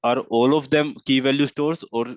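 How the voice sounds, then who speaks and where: A man's voice: medium pitch, steady tone, normal speed, no echo. A man taking part in a technical discussion as the one asking questions. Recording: dull, lo-fi dry audio.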